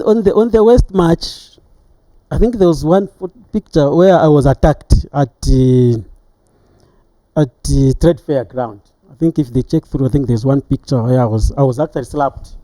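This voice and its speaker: A middle-aged man with a Zambian accent recounting his experiences as a retired referee in an interview, halting and repeating words as he goes. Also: on the high side, warm tone, dry recording.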